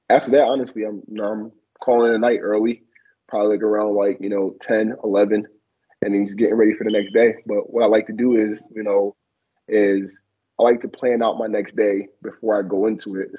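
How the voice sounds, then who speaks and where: A man's voice: fairly low pitch, husky. A young man from Liberia raised in Philadelphia, a former college football player, talking reflectively with many fillers as a guest on a video call. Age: young adult. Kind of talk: casual interview on a video call. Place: small room indoors. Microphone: video call, laptop camera. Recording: dull lo-fi video call audio.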